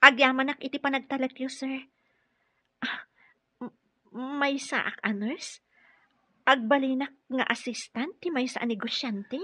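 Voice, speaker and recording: High, bright-voiced deferential young nurse. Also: female voice, measured pace, pauses often, dry recording, full character voice. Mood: surprised, grateful. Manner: respectful, addressing superiors as sir. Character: young female nurse caregiver, polite and deferential to her employer. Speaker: radio drama actor. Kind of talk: Ilocano radio drama acting.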